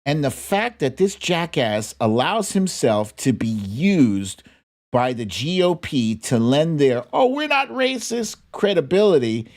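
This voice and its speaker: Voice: medium pitch, sing-song, a little slow, darkish tone. An American host giving unscripted, opinionated political commentary in a blunt, indignant, emphatic manner.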